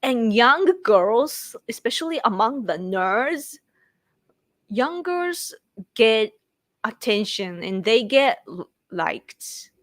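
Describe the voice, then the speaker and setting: A woman's voice: fairly high pitch, sing-song, slow, fairly bright tone, no room sound. A Japanese woman in her late thirties with a Japanese accent, chatting casually on a live stream and laughing often as she answers viewers. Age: late 30s. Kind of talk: casual chat.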